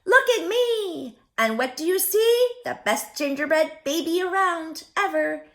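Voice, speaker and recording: A woman's voice: extremely high pitch, expressive, a little slow, a bit bright, pitched above the performer's own voice, full character voice. A woman voicing a cocky, gleeful little gingerbread baby from a children's storybook, taunting in a boastful singsong.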